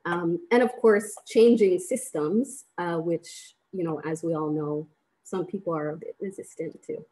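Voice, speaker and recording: Earnest lady from vanuatu presenting on a laptop call, lowish voice, steady explaining tone. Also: measured pace, rough recording. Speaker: panelist. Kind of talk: webinar presentation. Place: small bedroom at home. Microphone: laptop webcam on a video call.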